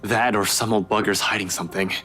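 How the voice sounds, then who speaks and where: A man's voice: on the low side, steady tone, brisk, bright, forceful tone, faint music behind. A man playing a skeptical, wry young man in a visual novel, talking in a dry, doubtful way.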